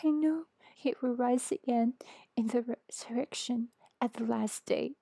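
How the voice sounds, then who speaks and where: A woman reader voicing an earnest, grieving woman of faith in a scripture story, with a soft, pleading, reverent delivery. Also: a little high, a little slow, gravelly, no echo, pitched above the performer's own voice. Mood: earnest, devout.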